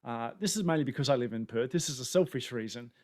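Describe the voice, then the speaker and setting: A man's voice: mid-range voice, animated, quick pace. A man from Australia with an Australian accent, giving a chatty, self-deprecating webinar presentation over a video call from an indoor room. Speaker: conference presenter. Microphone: webcam setup on a video call.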